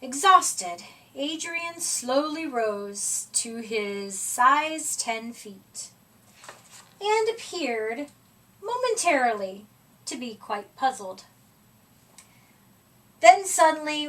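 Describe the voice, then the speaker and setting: High-voiced, unhurried woman reading a storybook. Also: bright, forceful tone, distant mic. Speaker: storybook reader. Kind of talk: expressive read-aloud of a children's picture book.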